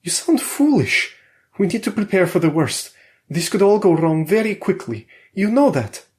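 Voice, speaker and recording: A woman's voice: medium pitch, big pitch swings, normal speed, darkish tone, voice pushed up, natural voice. A man doing an anxious, suspicious wife. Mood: insistent. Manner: worried, pressing questions.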